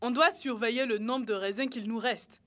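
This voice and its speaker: Woman playing a sensible young cartoon friend, slightly high pitch, careful, explanatory. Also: moderate pace, very noisy, lightly acted. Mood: matter-of-fact. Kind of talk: children's educational cartoon dubbing.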